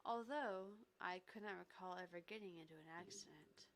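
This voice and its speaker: A woman voicing a polite, reflective amnesia patient in a visual novel, reading in a formal, measured way. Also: lowish voice, natural voice, poor audio. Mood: reflective narrating.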